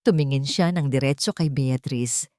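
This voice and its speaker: A woman reading a scripted dramatic story as its narrator, in a measured, solemn storytelling manner. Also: very deep voice, darkish tone, dry recording, clean studio sound.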